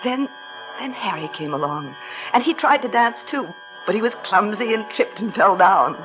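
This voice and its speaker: An actress playing a frightened, guilt-haunted young wife in a 1940s radio thriller, pleading anxiously and often repeating herself. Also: female voice, mid-range voice, animated, normal speed, lightly acted. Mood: haunted, confiding. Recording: dull old radio recording with music.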